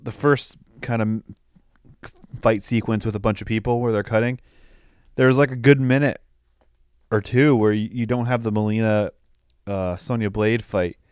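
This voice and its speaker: A man with a fairly low, clean voice talks as a podcast co-host in casual film-review chat. He is opinionated and swears a little. The sound is dry, with hardly any room in it. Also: steady tone, moderate pace, long pauses, a little mellow, clear, resonant tone, dry recording. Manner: opinionated, mildly profane.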